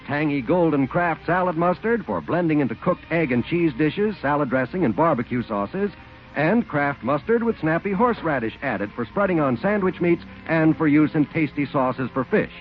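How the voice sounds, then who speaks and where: High-pitched male 1940s radio pitchman. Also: expressive, moderate pace. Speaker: radio commercial announcer. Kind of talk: 1940s scripted radio advertising. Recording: dull, lo-fi 1940s radio recording. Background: music.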